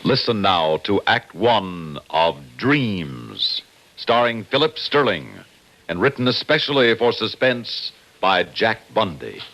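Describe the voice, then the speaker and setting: Vintage radio announcer, male, low voice with sweeping intonation, deliberate and dramatic delivery. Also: big pitch swings, a bit bright, poor audio. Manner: deliberate, theatrical. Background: faint music.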